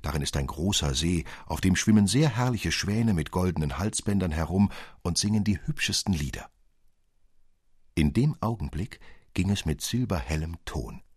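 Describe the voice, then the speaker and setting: A male narrator with a very low, husky voice reads a literary fairy tale. His storytelling is measured yet expressive, recorded close and dry with no room sound. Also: dry recording.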